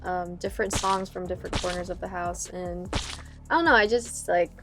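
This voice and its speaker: A young woman from Vietnam, a singer talking about her music in a casual interview, with a relaxed, hesitant way of speaking full of fillers. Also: on the low side, fairly quick. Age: young adult. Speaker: singer guest.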